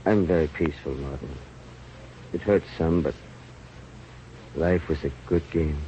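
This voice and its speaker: A man's voice: rumbling low, measured pace, warm tone. Boisterous, big-hearted male adventurer, declamatory, for vintage radio drama; dull, slightly hissy recording. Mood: weak, dying, peaceful. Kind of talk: 1930s radio drama acting. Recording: muffled, noisy old radio recording.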